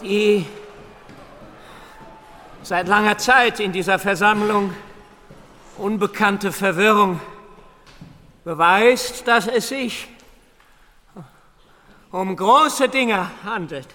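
In a long reverberant hall, an austere revolutionary orator with a high, piercing voice. He speaks very slowly and solemnly, halting between phrases with icy self-righteousness, in radio play style. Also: pushed and bright, exaggerated voice. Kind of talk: radio play acting, political speech.

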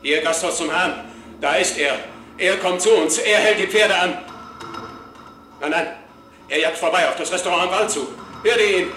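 An actor playing a frantic lookout in a radio drama, calling out loudly and urgently in a declamatory way. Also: male voice, sits high, normal speed, pauses often. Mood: frantic excitement. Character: frantic male lookout crying out a horseman's approach. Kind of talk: German radio drama acting. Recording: echoing, noisy radio play scene.

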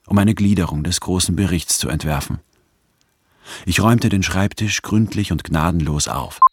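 Actor doing a thoughtful anthropologist guy telling his story in a radio play, really low voice, dry wit, music under it. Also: close to an everyday voice. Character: reflective male anthropologist narrating his own doubts. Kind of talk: German radio drama, first-person literary narration.

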